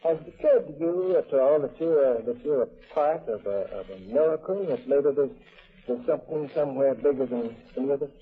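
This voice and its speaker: A man's voice: medium pitch, brisk, slightly rough. A man doing an informal radio interview, hesitant and earnest as he searches for his words. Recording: tinny, narrow old radio recording.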